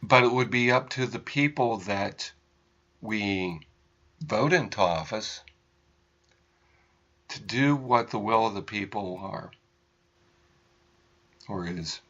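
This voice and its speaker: An American man giving unscripted political commentary as a radio host, in halting, deliberate phrases with emphasized words. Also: fairly low pitch, a bit bright, muffled recording.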